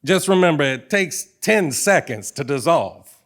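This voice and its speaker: A man's voice: fairly high pitch, lively intonation, a little slow, fairly bright tone. A man playing a shady, folksy wheeler-dealer with sly, rambling patter in a comic stage audio play. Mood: conspiratorial. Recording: live theatre stage recording.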